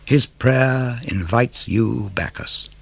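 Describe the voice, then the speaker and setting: A man gives a measured, declamatory dramatic reading of classical poetry for radio. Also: medium pitch, gravelly, husky, dry recording. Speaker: poetry reader.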